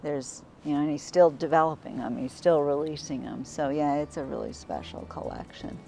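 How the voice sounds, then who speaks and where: Casual low-voiced woman outdoors. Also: big pitch swings, moderate pace, vocal fry, mellow, faint music behind. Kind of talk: casual unscripted interview.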